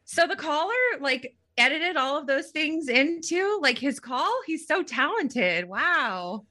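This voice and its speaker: Bright, slow-talking woman co-host. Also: sits high, expressive. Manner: laughing, teasing. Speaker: podcast co-host. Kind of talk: casual comedic chat.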